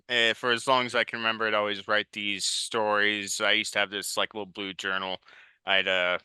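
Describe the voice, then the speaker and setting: Brief: male interview guest, twenties, lowish but very bright voice, informal, close dry recording. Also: smooth, no room sound. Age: 20s. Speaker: interview guest, writer and director. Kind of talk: casual conversational interview answers.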